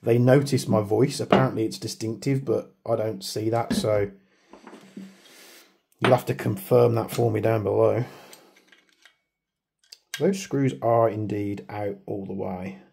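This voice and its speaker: A man's voice: lowish voice, fairly even intonation, measured pace, gravelly, long pauses, mellow, a little hiss or hum. A man rambling chattily through a hands-on product review, joking about his own waffling. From United Kingdom. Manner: rambling, chatty, self-deprecating. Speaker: airsoft video maker.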